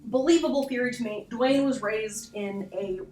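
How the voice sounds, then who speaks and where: A woman's voice: on the high side, normal speed, a little mellow. Young woman commentating casually in an echoey room. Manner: informal, sweary, self-deprecating asides. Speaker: video essay host. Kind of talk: casual horror analysis commentary. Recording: roomy echo, distant mic, music underneath.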